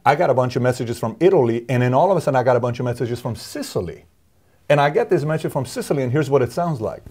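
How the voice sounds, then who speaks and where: A man hosting an interview, asking probing questions in a lively way, often restarting his sentences. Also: medium pitch, fast, slightly rough. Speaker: interview host. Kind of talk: casual interview talk.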